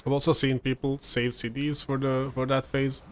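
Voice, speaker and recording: Young Serbian man, native Serbian speaker, easygoing game commentator, mid-pitched voice, loose and chatty delivery. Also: moderate pace. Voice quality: relaxed, chatty, many fillers and restarts. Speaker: gameplay commentator. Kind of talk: casual gameplay commentary.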